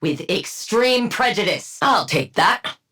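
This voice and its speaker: A woman's voice: medium pitch, lively intonation, slow, bright, forceful tone, husky, exaggerated voice. An actress voicing a haughty, clinical female supervillain scientist for a video game, with dry, pompous wit and precise diction. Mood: smug, sardonic. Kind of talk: scripted game character voice lines.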